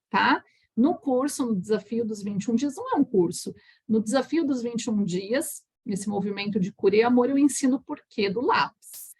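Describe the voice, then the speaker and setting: Brazilian woman, healing instructor, a little high and husky, chatty, keeps checking in with listeners. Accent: Brazilian Portuguese. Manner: warm, chatty, frequent restarts and "tá?" check-ins. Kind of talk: live online talk to an audience.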